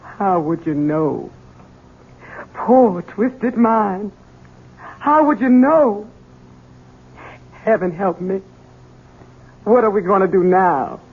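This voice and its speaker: An anguished mother in service, urgent and lamenting. Her voice is very rough and swings widely in pitch. The sound is a narrow, muffled old radio broadcast. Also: animated, slow, pauses often. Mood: horrified, grieving. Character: anguished servant mother of a simple-minded son. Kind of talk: radio drama acting.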